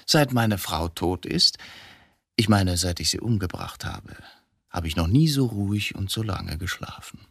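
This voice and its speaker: An actor in a radio drama playing a coolly provocative, philosophical man who has confessed to killing his wife, speaking deliberately in probing rhetorical questions. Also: low voice, expressive, gravelly, fairly bright tone, breathy edge, no room sound, studio quality, exaggerated voice. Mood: cool, defiant.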